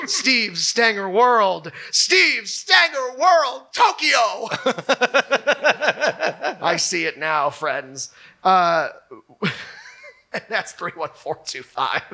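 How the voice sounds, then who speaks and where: A man co-hosting a live comedy show, talking off the cuff in a halting, exclamatory way and often restarting himself. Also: sits high, big pitch swings, vocal fry, bright, forceful tone. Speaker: co-host of a local comedy show. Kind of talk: comedic unscripted talk before a live audience.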